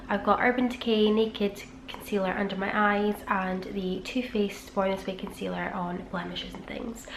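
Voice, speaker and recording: A woman with a nasal, bunged-up voice from a cold, chatting casually through a makeup routine at home. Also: mid-range voice. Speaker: beauty vlogger. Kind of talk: chatty get-ready-with-me talk. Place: home room beside a busy street. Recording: echoey room with traffic noise. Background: traffic noise.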